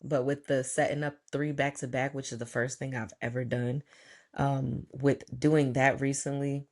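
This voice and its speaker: Chatty self-deprecating podcast host. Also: male voice, mid-range voice, flat delivery, moderate pace. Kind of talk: casual interview chat.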